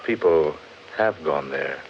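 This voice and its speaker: An actor playing an earnest English gentleman in a 1940s radio drama, with theatrical emphasis. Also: rumbling low, animated, slow pace, full character voice. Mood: earnest, intrigued. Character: earnest English gentleman fascinated by an eerie legend. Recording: noisy, muffled 1940s radio recording.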